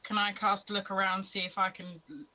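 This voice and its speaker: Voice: medium pitch, level tone, brisk, sharp tone, rough recording. A player at a tabletop game, curious and full of questions about the scene. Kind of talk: casual play at a tabletop game.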